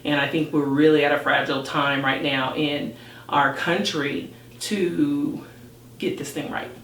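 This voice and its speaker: An American woman hosting a podcast interview in a chatty, informal and excited way. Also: low voice, a little slow. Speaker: podcast host. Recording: roomy, distant mic with background noise.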